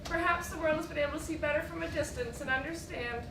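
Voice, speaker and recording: An actress on stage playing a trusting but doubting, plaintive young wife. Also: female voice, sits high, quick pace. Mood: wounded. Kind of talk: stage acting in a one-act play. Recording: echoey, noisy stage recording from far off.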